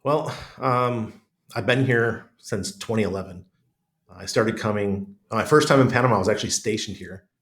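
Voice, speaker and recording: Deep-voiced, congested middle-aged American man. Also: moderate pace, a bit bright. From United States. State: chest congestion. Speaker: podcast guest. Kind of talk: casual conversational interview.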